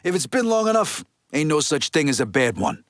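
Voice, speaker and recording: An actor playing a gruff, impatient middle-aged American mob underboss in a video game, with blunt, profane, streetwise talk. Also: male voice, on the high side, very animated, fairly quick, dry recording, studio quality. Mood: pushy. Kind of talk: video game dialogue acting.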